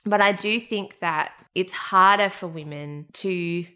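A woman's voice: lowish voice, slow pace, sharp tone. A woman hosting a podcast, telling a personal story in a candid, emotional way. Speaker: podcast host. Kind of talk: casual chat.

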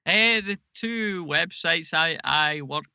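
A man with a very bright, forceful voice and a gravelly creak in it. He talks casually as an interview guest, with dry humour. The sound is close and dry, with no room echo. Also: on the high side, slow pace. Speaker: podcast guest, audio drama producer.

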